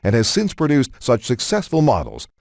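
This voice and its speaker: A man's voice: mid-range voice, huge pitch swings, normal speed, vocal fry, darkish tone, dry recording, studio quality. A man narrating a scripted car showcase for a racing game in a polished, promotional announcer style.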